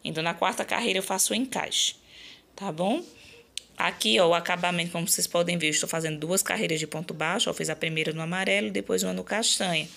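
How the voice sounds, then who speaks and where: A slightly hoarse woman with a Brazilian accent, explaining her craft work in a chatty, casual vlog style. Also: lowish voice, steady tone, fast talker, sharp tone. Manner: chatty, explanatory, frequent check-in tags. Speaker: crochet channel host.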